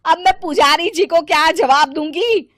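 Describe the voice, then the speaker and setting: A woman's voice: very high-pitched, unhurried, fairly bright tone, no room sound, studio quality, voice pushed up. A woman voicing an irritable, demanding mother who scolds indignantly in a moral story. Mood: scolding. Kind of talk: animated moral story dialogue.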